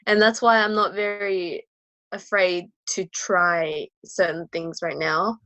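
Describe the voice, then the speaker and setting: A woman's voice: fairly low pitch, steady tone, slow pace, sharp tone, dry recording, low-quality recording. A young woman from Australia, a powerlifter guest, who talks in a hesitant way with many fillers and laughs easily. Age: young adult. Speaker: guest, powerlifter and university student. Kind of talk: casual interview chat.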